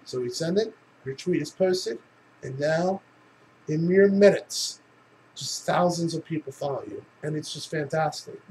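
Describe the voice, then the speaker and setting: A man's voice: a little high, unhurried, a little mellow, slight background noise, rough recording. A man giving a casual comedic tutorial in a tongue-in-cheek, mock-instructional way with casual swearing. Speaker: tutorial presenter.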